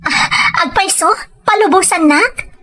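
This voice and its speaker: A woman playing a soft-spoken, coaxing wife with a gambling vice in an Ilocano radio drama, in measured, confiding phrases. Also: very high-pitched, exaggerated voice. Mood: gentle, coaxing. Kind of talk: Ilocano radio drama acting and first-person narration.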